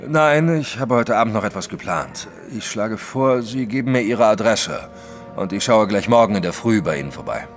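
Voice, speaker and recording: A hulking, skeptical detective in a radio play. His voice is fairly low and lively, with dry, commanding, probing delivery over faint music. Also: moderate pace. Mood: businesslike. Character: huge, brusque, skeptical French male detective. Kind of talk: German audio drama acting.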